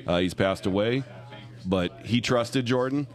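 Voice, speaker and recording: A loan officer with a loud, slightly low voice, speaking at a busy event. He sounds friendly and earnest as he explains things plainly. He often restarts his sentences mid-thought. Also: a little slow. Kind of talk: casual conversation at an event. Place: room full of people at an event.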